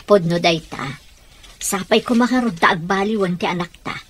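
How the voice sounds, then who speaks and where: A woman's voice: mid-range voice, moderate pace, slight background noise, natural voice. A woman with an Ilocano accent playing a concerned middle-aged mother in a radio drama. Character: Alma, concerned middle-aged mother hoping for her child.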